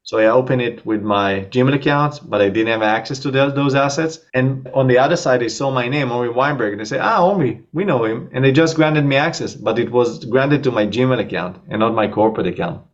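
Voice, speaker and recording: A startup co-founder with an Israeli accent talks through his company in a relaxed interview, pitched in the middle of a man's range. His speech runs fluently but is peppered with fillers. The audio sounds muffled, as if it came over a video call. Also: a little fast, few pauses. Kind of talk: casual interview talk.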